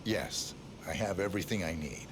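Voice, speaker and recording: A man playing a cold, terse assassin posing as a visitor in a game, with a clipped, deadpan delivery. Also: low-pitched, normal speed, noisy background, natural voice. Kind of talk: scripted game dialogue.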